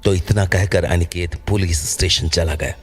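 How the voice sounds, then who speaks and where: A man's voice: deep voice, steady tone, moderate pace, breathy edge, faint music behind. A man narrating a scripted horror story as the storyteller of an audio drama.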